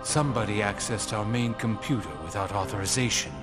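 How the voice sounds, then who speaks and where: Actor as cold, very deep-voiced lieutenant. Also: male voice, a little fast, music in the background, lightly acted. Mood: formal. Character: cold, stern male second-in-command. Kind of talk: video game cutscene acting.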